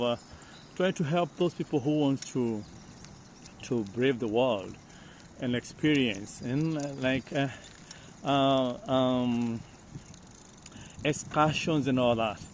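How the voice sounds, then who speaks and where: A man from Kenya with a Kenyan accent, talking casually as a vlogger in a halting, repetitive way. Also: a little high, slight background noise. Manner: halting, repeats phrases. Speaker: vlogger, host. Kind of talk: casual outdoor vlog talk. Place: outdoors on a bicycle along village paths. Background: loud outdoor ambience.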